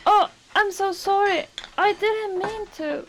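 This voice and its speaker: A high, anxious young kitchen worker, played by a woman in a radio play, nervous and apologetic, with faint sizzling behind her. She pleads in a bright, light voice. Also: slow, slight background noise, heavily acted. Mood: pleading. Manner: nervous, pleading, then earnest reading aloud. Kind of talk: radio drama acting.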